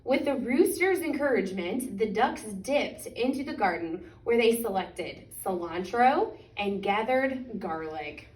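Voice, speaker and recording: Woman, high voice, children's storytime reader, expressive and deliberate, echoey room, distant mic. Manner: expressive, deliberate, stretching key words. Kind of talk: animated picture-book storytime reading.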